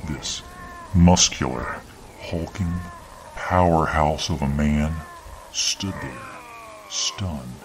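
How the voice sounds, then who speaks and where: A man narrating a scripted horror story in ASMR style, with an ominous, drawn-out delivery. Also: rumbling low, a little hiss or hum. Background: background music.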